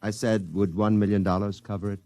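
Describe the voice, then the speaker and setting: An actor playing a calm, formal Martian posing as a businessman, speaking precisely in a 1950s radio drama. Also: fairly low pitch, dry recording, lightly acted. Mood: businesslike. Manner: precise, formal, unhurried diction.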